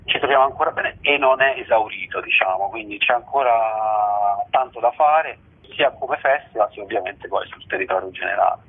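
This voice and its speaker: A man's voice: fairly low pitch, moderate pace, husky. A man explaining things informally and discursively in a radio interview as a festival organiser. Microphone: telephone. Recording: muffled, narrow-band telephone line.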